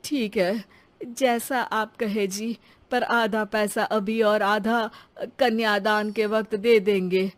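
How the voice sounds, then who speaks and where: A woman's voice: mid-range voice, fairly even intonation, takes it slowly, no echo, natural voice. A woman voicing a humble, anxious father of a bride in a cartoon story, speaking with pleading deference.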